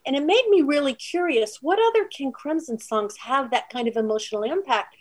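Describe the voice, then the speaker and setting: A female singer with a soft voice, telling anecdotes casually in an interview. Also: high-pitched, lively intonation, fairly quick.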